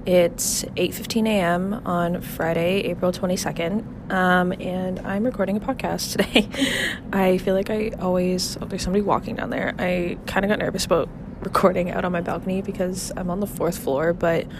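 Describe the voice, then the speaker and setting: Young woman, lowish voice, stuffy nasal cold, rambling casual chat, outdoor balcony noise. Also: steady tone, moderate pace. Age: 20s. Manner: rambling, filler-heavy, frequent laughs and swearing. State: congested, nasal from a head cold. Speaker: podcast host. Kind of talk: casual solo podcast chat.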